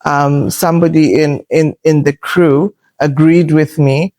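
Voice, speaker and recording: A woman in her fifties with a loud voice, talking in a reflective, assertive way as a podcast host and pausing often on fillers. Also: low voice, fairly even intonation. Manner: assertive, unhurried, thoughtful with filler pauses. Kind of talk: reflective conversational talk.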